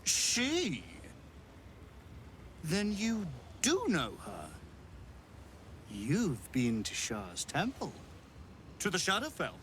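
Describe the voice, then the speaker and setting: An actor playing a haughty, theatrical wizard in a video game, smugly menacing, with a pompous and deliberate delivery. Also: male voice, high voice, wildly swooping pitch, fairly bright tone, slight background noise, heavily acted. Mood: menacing, probing. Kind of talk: video game villain acting.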